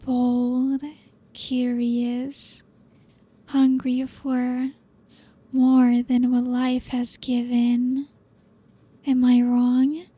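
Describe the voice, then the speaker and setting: A woman playing a possessive female vampire who coaxes her guest in a soft, breathy ASMR roleplay. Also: fairly high pitch, monotone, no room sound, heavily acted. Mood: seductive. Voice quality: soft breathy whispery delivery. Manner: slow, teasing, coaxing.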